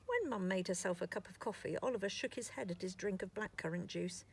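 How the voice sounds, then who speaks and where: A woman storyteller narrating a scripted children's story in an expressive, deliberate way. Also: mid-range voice, slightly rough.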